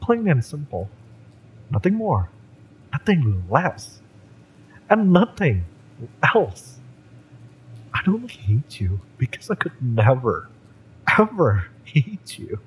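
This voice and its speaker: A man playing a fiery, lovesick young mercenary bodyguard in a romance audio roleplay, speaking with halting, wounded emotion. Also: mid-range voice, wildly swooping pitch, slight background noise, low-quality recording. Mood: passionate confession.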